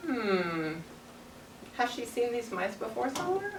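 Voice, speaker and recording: A woman's voice: a little high, big pitch swings, a little slow. A young woman teacher reading a story aloud to young children, stopping to ask questions and echo their answers. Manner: prompting with questions, echoing pupils' answers. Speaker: primary school teacher. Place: carpeted classroom full of young children. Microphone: distant camera microphone. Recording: echoey, distant, noisy classroom pickup.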